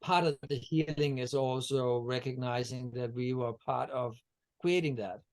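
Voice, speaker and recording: A man's voice: medium pitch, steady tone, measured pace, a little mellow, low-quality recording. A man from Denmark, a guest on a video-call interview, talking about energy healing in a meandering way with many fillers and restarts. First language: Danish.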